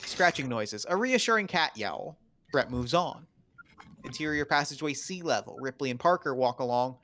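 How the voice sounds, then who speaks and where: A man's voice: mid-range voice, expressive, normal speed, sharp tone. A man narrating stage directions at a script table read in terse, clipped, dramatic phrases.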